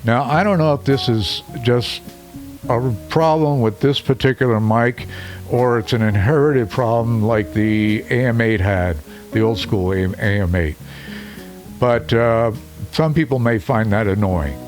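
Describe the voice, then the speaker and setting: A deep-voiced man talks straight into a studio microphone, reviewing it casually. His pitch sits in the ordinary middle for a man. He rambles a little, with plenty of fillers. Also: measured pace, darkish tone, faint music behind. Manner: chatty, loose, with frequent fillers. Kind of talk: casual product review to camera. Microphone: studio microphone under test.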